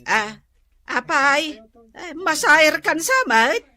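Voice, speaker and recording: A woman's voice: sits high, very animated, slow, sharp tone, full character voice. A woman playing a pushy, teasing aunt. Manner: teasing, insistent. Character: nosy aunt pushing her niece to marry. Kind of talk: Ilocano radio drama comedy acting.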